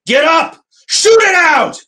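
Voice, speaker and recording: A man's voice: very high voice, big pitch swings, slow, bright, forceful tone, shouting, heavily acted. An actor playing a hot-headed young gunman in a radio drama, snarling threats. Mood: furious. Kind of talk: old-time radio drama acting.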